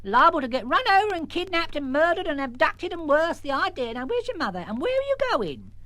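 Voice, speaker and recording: A man's voice: very high voice, sing-song, fairly quick, sharp tone, no echo, voice pushed up, exaggerated voice. A British man voicing a bossy, alarmist old great-aunt who scolds in breathless lists in a children's story. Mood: scolding, alarmed.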